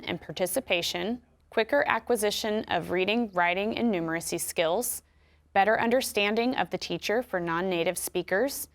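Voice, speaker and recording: A woman with an American accent giving scripted instructions in a clear, measured way. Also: mid-range voice. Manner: clear, measured explanatory delivery. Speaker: instructional video narrator.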